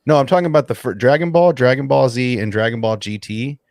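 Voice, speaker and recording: A man's voice: medium pitch, fast talker, darkish tone. A man of forty on a podcast panel, talking with slangy enthusiasm. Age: 40s. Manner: enthusiastic, slangy, fan talk. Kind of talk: casual podcast chat.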